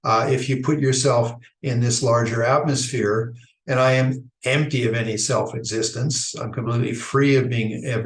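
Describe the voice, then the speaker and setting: A man from Idaho giving an unscripted Buddhist teaching, talking in a thoughtful, halting way with many restarts. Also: medium pitch. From Idaho, United States. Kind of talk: unscripted dharma talk. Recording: echoey room.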